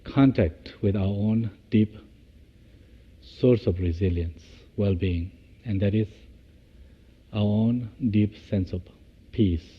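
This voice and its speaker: A man guides a meditation in a lowish, husky voice. He moves in measured short phrases with long pauses between them. The recording is dull and muffled. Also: long reverb tail. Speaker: meditation teacher.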